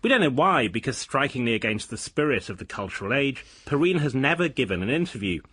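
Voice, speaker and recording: Radio drama: male arts programme host, brisk, polished, firm moderator, animated intonation, close dry sound. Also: mid-range voice, husky, no echo. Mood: smooth, brisk. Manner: polished, measured, interrupts firmly. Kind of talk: scripted radio drama as live arts broadcast.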